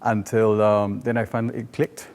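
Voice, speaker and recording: A man's voice: lowish voice, flat delivery, moderate pace, darkish tone, clean tone. A man in his forties from Peru, a native Spanish speaker with a Peruvian accent, talking conversationally as an interview guest. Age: 40s. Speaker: well-being coach and guest. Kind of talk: conversational personal storytelling in an interview.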